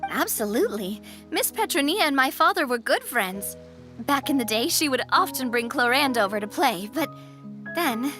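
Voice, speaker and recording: A woman playing an earnest, friendly young woman in a video game, chatty and expressive. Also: high voice, moderate pace, over music. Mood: flustered, chatty.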